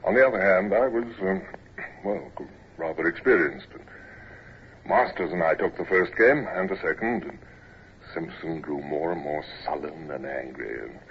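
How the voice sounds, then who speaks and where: A deep-voiced reflective naval narrator. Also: male voice, lively intonation. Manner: reflective, measured. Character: grown male naval officer recalling his youth. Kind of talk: 1940s radio narration. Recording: dull lo-fi old radio recording with faint hiss.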